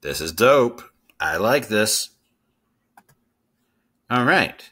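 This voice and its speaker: Low, bright-voiced man teaching. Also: sing-song, drawn-out words, breathy edge. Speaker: tutorial host. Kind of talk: casual screen-share coding tutorial.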